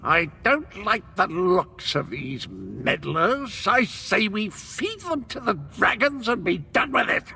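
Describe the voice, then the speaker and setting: A man's voice: high-pitched, big pitch swings, slow pace, creaky, few pauses, bright, forceful tone, slight background noise, full character voice. An actor playing a hostile, sneering and impatient villain henchman in a video game, speaking with menace. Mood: menacing. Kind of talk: scripted video game dialogue.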